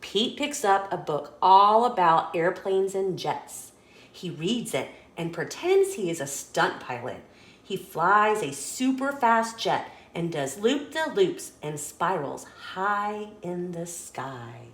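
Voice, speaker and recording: Animated woman reading a children's storybook. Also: fairly low pitch, slow pace, echoey room. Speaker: children's story reader and host. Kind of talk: expressive read-aloud narration of a children's picture book.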